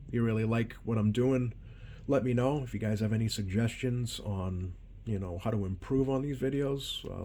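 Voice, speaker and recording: A man giving a casual hands-on demonstration as a repair technician, talking in a hesitant, rambling way with many ums and pauses. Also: lowish voice, gravelly, dark tone. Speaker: CB radio repair technician hosting a video. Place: repair workbench indoors. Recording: noisy room tone behind voice.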